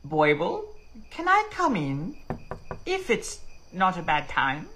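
A man voicing a shy, kindly, elderly male gnome in a storybook, hesitant, polite and gently pleading. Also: high voice, wildly swooping pitch, takes it slowly, slight background noise, full character voice, poor audio.

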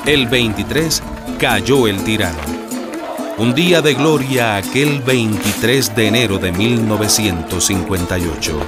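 A man's voice: fairly low pitch, a little slow. Male documentary narrator over music. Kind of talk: scripted historical radio drama narration.

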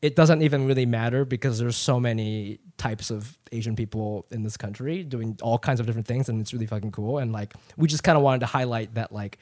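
A man's voice: medium pitch, brisk, few pauses, a little mellow. A man from Japan who grew up in Ohio, with an American accent, chatting candidly and profanely as a comedian guest on a casual podcast. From Japan, raised in Ohio from age eight. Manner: candid, profane, rambling storyteller.

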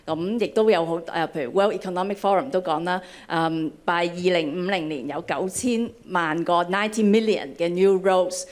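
A woman's voice: lowish voice, normal speed, few pauses. A middle-aged woman from Hong Kong speaking on a panel, switching between Cantonese and English with frequent hesitations. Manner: hesitant, many ums. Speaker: panelist, fund head.

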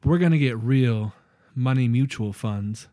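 A man's voice: medium pitch, unhurried, dark tone. A young man co-hosting a podcast, chatting loosely about his new year goals, swearing freely and laughing often. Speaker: podcast co-host. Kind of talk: casual chat.

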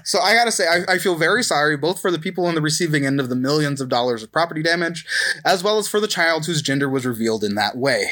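A man's voice: a little high, expressive, quick pace, fairly bright tone. A man in his thirties hosting a casual podcast chat in a jokey, self-deprecating way. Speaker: podcast host.

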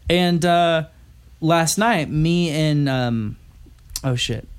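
A man's voice: fairly high pitch, drawn-out words, clear, resonant tone. A man co-hosting a podcast in casual chat over beers, rambling through a story and laughing often. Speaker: podcast co-host.

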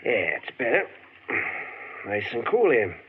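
A man's voice: medium pitch, wildly swooping pitch, a little slow. An actor playing a tough-talking, wisecracking man pursuing a fugitive in a 1940s radio drama. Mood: coaxing. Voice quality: hard-boiled, wisecracking. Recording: tinny old radio broadcast, faint hiss.

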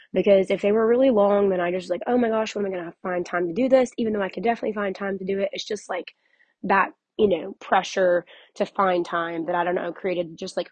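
A young woman, hoarse from losing her voice, hosting a casual podcast in a chatty, candid way with many fillers. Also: medium pitch, darkish tone, no echo. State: hoarse, recovering from lost voice. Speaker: podcast host and author. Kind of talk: casual solo podcast talk.

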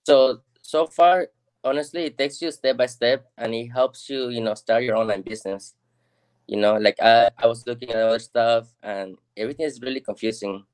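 Smooth-voiced Peruvian guest. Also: male voice, medium pitch, steady tone, moderate pace, a little mellow. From Peru. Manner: earnest, modest, many "you know" and "like" fillers. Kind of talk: casual interview. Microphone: video call.

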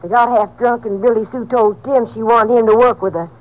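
A woman's voice: on the high side, fairly even intonation, brisk, lo-fi sound, close to an everyday voice. Woman acting a sullen country girl, Southern drawl. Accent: rural Southern US. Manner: folksy ungrammatical drawl. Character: sullen, resentful uneducated girl, a young delinquent. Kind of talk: 1950s radio drama acting. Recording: old 1950s radio recording.

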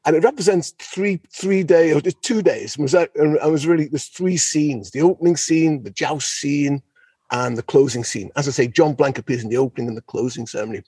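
A man's voice: fairly high pitch, fairly quick, dark tone, breathy edge, no echo. A man talking conversationally about history as a podcast guest, enthusiastic and digressive, often repeating phrases for emphasis. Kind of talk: unscripted, conversational history discussion.

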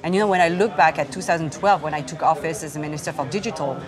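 A woman answers interview questions at an event, her voice sitting low for a woman. She talks in a measured, halting way with plenty of fillers. A busy crowd murmurs behind her throughout. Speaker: investor, fund founder. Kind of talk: onstage interview.

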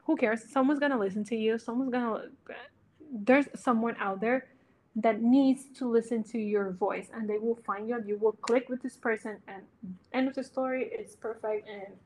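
A woman's voice: on the high side, steady tone, moderate pace, darkish tone, a little hiss or hum, low-quality recording. A woman from America, a podcast guest giving earnest, encouraging advice and sometimes searching for words. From Latin America. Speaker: podcast guest, interior designer. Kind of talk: casual chat, encouraging advice.

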